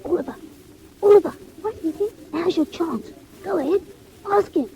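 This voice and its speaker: A woman's voice: very high voice, moderate pace, warm tone. A woman playing an insistent, coaxing workhouse boy in a 1940s audio drama. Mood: urgent coaxing. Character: bossy, urging workhouse orphan boy. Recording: noisy vintage 1940s record.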